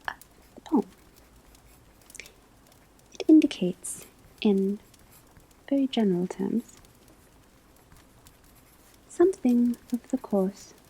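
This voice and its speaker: A patient professor at a school of witchcraft, played by a woman, lectures in an ASMR roleplay. Her voice is very breathy and whispery, with a soft, dark warmth. She speaks slowly and formally, setting out each point with measured precision. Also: mid-range voice, full character voice, low-quality recording. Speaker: divination teacher in a roleplay.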